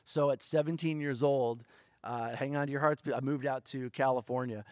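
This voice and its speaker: A man's voice: medium pitch, steady tone, normal speed, no room sound, clean studio sound. A middle-aged man from Detroit, a songwriter guest telling jokey stories about his start in music in a casual podcast chat. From Detroit, Michigan. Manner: playful, jokey storyteller.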